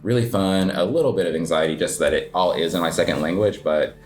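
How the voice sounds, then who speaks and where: Very deep-voiced relaxed man. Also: quick pace. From United States. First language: English. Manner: relaxed, rambling, heavy filler words, self-deprecating laughs. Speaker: guest, cafe owner and coffee competitor. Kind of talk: casual interview chat.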